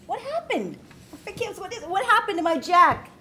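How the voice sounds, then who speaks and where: Actress doing shrill jealous woman, aggrieved, high and swoopy, noisy room, comedy script read. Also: sing-song, moderate pace, pushed and bright, heavily acted. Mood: distraught. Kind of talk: comic screenplay table read.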